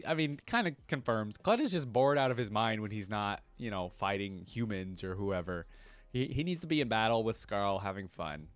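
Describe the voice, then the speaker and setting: A man's voice: medium pitch, no room sound. A man giving informal, casual fan commentary as a reaction video host. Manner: informal, peppered with "like" and "you know".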